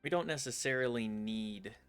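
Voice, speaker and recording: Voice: medium pitch, normal speed, fairly bright tone, clean tone, soft background music. A streamer giving casual gameplay commentary, chatty and self-deprecating, talking back to the game.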